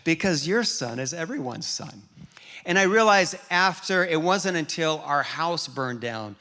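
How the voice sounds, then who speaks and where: A man delivers a sermon as a lively storyteller, often stumbling and restarting his phrases. His voice is bright and forceful, sitting slightly high for a man. He grew up in West Africa as an American. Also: a little slow. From grew up in Liberia, West Africa, as an American. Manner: storytelling, animated, with repeated restarts. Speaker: pastor.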